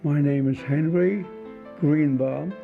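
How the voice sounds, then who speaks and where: A man in his eighties from Poland speaks slowly and carefully, going step by step. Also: fairly high pitch, gravelly, soft background music. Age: 80s. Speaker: Holocaust survivor, interviewee. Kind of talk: testimony interview. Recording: tinny phone-like sound.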